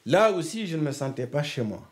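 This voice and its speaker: An older man from Guinea, a doctor, recounting his exile in a measured, reflective way. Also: fairly high pitch, very animated. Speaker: doctor, interviewee. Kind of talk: testimony.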